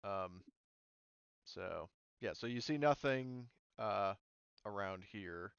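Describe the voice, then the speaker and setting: A man with a smooth, fairly low voice runs a casual tabletop game, explaining and thinking aloud informally. The sound is dry and slightly dull. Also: slow pace, fairly bright tone, no echo. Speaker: game master.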